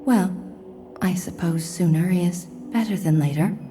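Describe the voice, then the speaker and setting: A woman playing a firm, proper armory keeper in an audio drama, with a measured, formal delivery. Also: fairly low pitch, warm tone, lightly acted. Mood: resigned. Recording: faint background noise.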